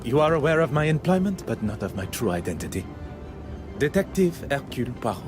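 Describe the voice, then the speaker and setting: An actor playing a shrewd, courteous, self-assured detective with a Belgian French accent, speaking formally and precisely in a game. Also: male voice, mid-range voice, very animated, a little fast, over music, close to an everyday voice. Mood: courteous, confident. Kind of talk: scripted game dialogue and narration.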